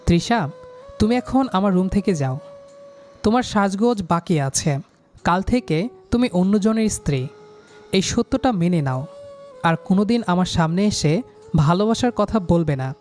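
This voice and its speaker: A man's voice: high voice, lively intonation, normal speed, pauses often, darkish tone. A man plays a stern, dutiful young man in a romantic audio drama. He speaks firmly and emotively, with music underneath. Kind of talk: audio love story acting.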